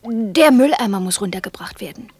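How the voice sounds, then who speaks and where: A woman's voice: mid-range voice, animated, fairly quick, a little mellow, breathy edge. An actress playing a reserved, polite woman who acts out the part of wife and mother in an intimate radio drama. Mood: hesitant.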